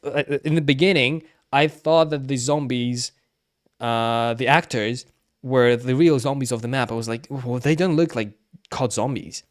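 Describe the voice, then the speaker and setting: A man livestreaming casual commentary on game cutscenes, talking haltingly and often repeating himself. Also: mid-range voice. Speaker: streamer.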